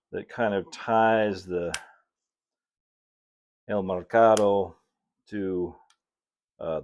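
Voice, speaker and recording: A man, narrating a hands-on hobby tutorial in a relaxed, folksy way, as if thinking aloud while he works. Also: fairly low pitch. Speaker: hobbyist presenter of model railroad build videos.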